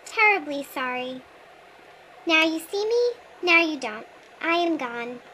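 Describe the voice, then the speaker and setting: A woman voicing a small, polite gray male mouse who asks for things meekly and gently. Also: high-pitched, animated, takes it slowly, slight background noise, pitched above the performer's own voice, full character voice. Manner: meek, overly polite, gentle asking.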